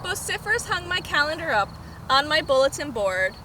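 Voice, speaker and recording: A woman reading a children's picture book aloud in an expressive storytime style. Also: high-pitched, brisk. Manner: expressive, animated. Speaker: storytime reader. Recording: noisy outdoor camera recording.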